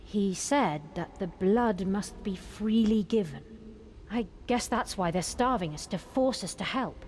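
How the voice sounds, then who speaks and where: A woman's voice: mid-range voice, measured pace, a little mellow, a little hiss or hum, close to an everyday voice. A woman playing an earnest, grateful young nun held captive, speaking politely and formally in fantasy game dialogue. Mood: grave, worried. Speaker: game character. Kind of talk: voice-acted fantasy quest dialogue.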